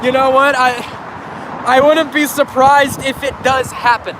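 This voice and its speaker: A man's voice: extremely high pitch, level tone, a little slow. A man recovering from a cold, livestreaming by phone from a bridge, chatting to viewers and often restarting and correcting himself. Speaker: railfan livestreamer. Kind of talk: casual livestream chat. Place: outdoors on a bridge by a busy highway. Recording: loud traffic and wind noise outdoors. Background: heavy traffic and wind.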